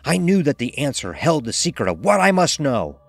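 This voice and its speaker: A man's voice: on the high side, sing-song, moderate pace, vocal fry, no echo, faint music behind. A man narrating a first-person horror story in a grave, intense, theatrical manner.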